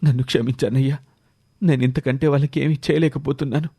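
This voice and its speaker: Casting: guilt-ridden, henpecked kindly uncle, male performer, middle pitch, cartoon moral story. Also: steady tone, fairly quick, creaky, warm tone, slightly rough. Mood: tender, guilty. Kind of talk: animated moral story character voice.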